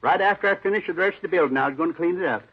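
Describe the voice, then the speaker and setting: An actor playing a simple-minded, eager, talkative janitor in an old radio drama, rambling in folksy, ungrammatical repetitions. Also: male voice, high-pitched, very fast, slightly rough, muffled recording. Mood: eager, defensive. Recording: noisy, band-limited old radio recording.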